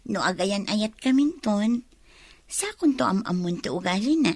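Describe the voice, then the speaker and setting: A woman playing a trusting, lovestruck young daughter, soft and earnest, in a radio drama. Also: mid-range voice, lively intonation, normal speed, no room sound. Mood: bashful. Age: young adult.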